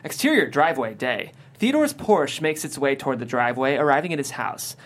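Lively-pitched screenplay narrator. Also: brisk. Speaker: stage-direction narrator. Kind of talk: scripted narration of screenplay action.

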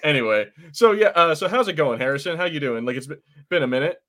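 A man's voice: on the high side, expressive, quick pace, bright, forceful tone. A man hosting a podcast with casual, jokey banter and reviews, often crude and sweary. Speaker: podcast host.

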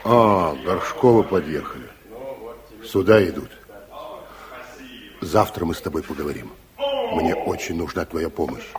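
A man's voice: low voice, a little slow, pauses often, a crowd in the background. An actor playing a weary, earnest, watchful secret policeman in a fatherly, probing way, in a 1960s Soviet radio play. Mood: secretive, grave. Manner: probing, repeats words back. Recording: muffled-edged 1960s radio drama recording.

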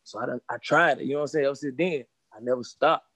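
A young man in his twenties from Charlotte talks quickly about himself as a rapper guest. He speaks in streetwise slang, with a steady stream of "you know what I'm saying". The sound is dry, with no room around it. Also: mid-range voice, no room sound. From Charlotte, North Carolina. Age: 20s. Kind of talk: casual interview.

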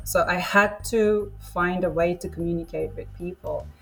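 Low-voiced woman from Iran, halting speech. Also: fairly even intonation, fairly bright tone. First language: Persian. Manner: thoughtful, halting, with frequent uhs. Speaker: guest and English teacher. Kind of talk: casual podcast conversation.

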